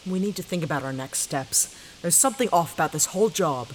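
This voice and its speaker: An actress playing a guarded, suspicious adventurer with a caring side in an audio drama. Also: female voice, sits low, lively intonation, a little fast, low-quality recording. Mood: wary. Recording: faint hiss behind the voice.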